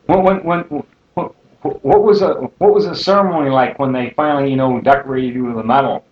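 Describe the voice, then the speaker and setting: A man's voice: mid-range voice, brisk, a little hiss or hum. Guy interviewing an old vet, short questions, lots of mm-hmms, echoey room, muffled old tape. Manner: brief prompting, many "mm-hmm". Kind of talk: oral history interview. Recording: roomy lo-fi 1980 tape.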